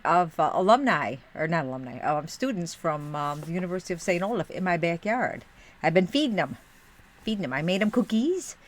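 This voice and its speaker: A woman hosting a livestream in a homey mom persona, rambling and motherly as she answers her chat. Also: medium pitch. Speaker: livestream host reading chat.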